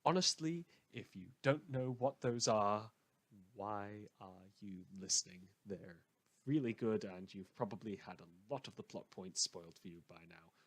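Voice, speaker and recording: A man's voice: fairly low pitch, expressive, a little slow, a bit bright. A man giving casual spoken credits and recommendations as host of a fan audio show, in a wry, informal way.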